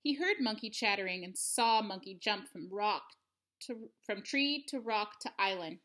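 A woman's voice: mid-range voice, lively intonation, measured pace, sharp tone. A woman in her thirties reading a picture book aloud expressively for children, at home. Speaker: storybook reader. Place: living room at home.

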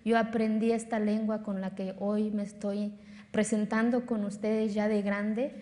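A woman's voice: mid-range voice, level tone, measured pace, warm tone. A woman in her thirties from Oaxaca, Mexico, with a Mexican accent and Zapotec as her first language, giving an earnest, emphatic speech on a stage before an audience. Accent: Mexican Spanish. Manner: earnest, emphatic, repeats phrases for force. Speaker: speaker at a talk. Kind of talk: public speech. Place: large hall on a lit stage before an audience. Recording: reverberant hall.

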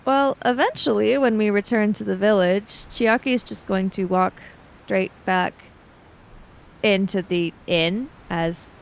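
A woman's voice: medium pitch, measured pace, vocal fry. A woman improvising narration for her character in a tabletop role-playing game, tentative and thoughtful.

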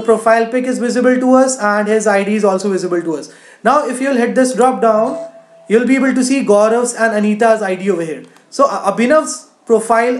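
Coding teacher in an echoey room. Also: medium pitch. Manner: frequent "you know", explanatory. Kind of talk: screen-share tutorial narration.

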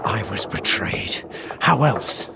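An actor playing a proud, wounded elf rebel in a fantasy video game, bitter, gravelly and weak with pain. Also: male voice, on the low side, lively intonation, slow, exaggerated voice. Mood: bitter, grieving. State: weak, in pain, breathy gasps. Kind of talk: dramatic video game acting. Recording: loud ambient noise behind voice.

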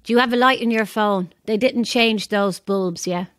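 A woman's voice: mid-range voice, moderate pace, no echo, natural voice. Actress as a frank, dry-recorded Dublin woman. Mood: chatty, wry. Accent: Irish, Dublin. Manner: frank, colloquial, wry. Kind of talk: audio drama, casual banter and storytelling.

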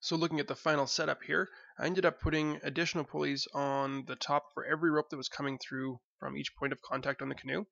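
A man's voice: fairly high pitch, little pitch movement, fast, vocal fry, few pauses, a bit bright, no room sound, lo-fi sound. A young man narrating his home project step by step, plain and explanatory, with some fillers. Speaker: DIY video host.

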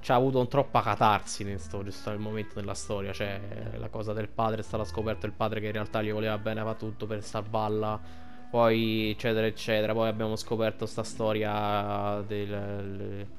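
A man's voice: on the low side, monotone, moderate pace. An Italian man giving casual, rambling and crude commentary over a video game. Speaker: gameplay streamer commentator. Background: game music.

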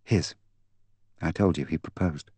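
A man plays a young woman in a mystery audiobook, proud and bitter. She speaks with candid, articulate intensity in a low register, recorded dry. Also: unhurried, warm tone, slightly rough, no room sound, full character voice. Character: proud, bitter young woman confessing to killing her fiancé. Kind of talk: audiobook character dialogue.

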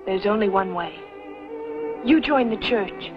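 A woman's voice: medium pitch, a little slow, close to an everyday voice. An actress playing a devout, proud young pioneer woman in a 1950s television western melodrama, earnest and dramatic. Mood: firm, reproachful. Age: young adult. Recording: muffled old TV soundtrack with music.

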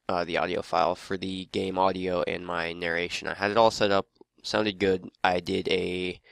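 A man's voice: low voice, vocal fry, a bit bright, clean tone, dry recording. A man hosting a gaming channel, congested, talking casually and self-deprecatingly to his viewers with lots of fillers.

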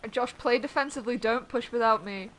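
A woman with a Yorkshire accent chatting casually and excitably while streaming a game, swearing and shouting warnings to her teammate. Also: a little high, fairly quick, slight background noise, rough recording. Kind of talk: casual gameplay chat. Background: loud game music.

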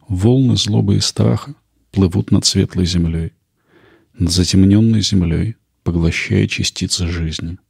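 A male podcast host reads scripted narration in a low, gravelly voice. It is somewhat husky and recorded close and dry. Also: dry recording. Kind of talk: scripted narration with poetry reading.

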